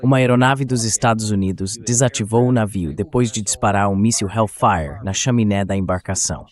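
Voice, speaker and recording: A man's voice: fairly low pitch, a little fast. A man hosting a political talk show, giving commentary as a translated voice-over.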